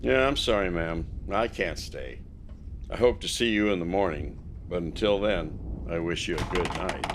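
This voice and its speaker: An actor playing a courteous, watchful sheriff's officer in an audio drama, with a polite, folksy manner. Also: male voice, low voice, normal speed, a little hiss or hum.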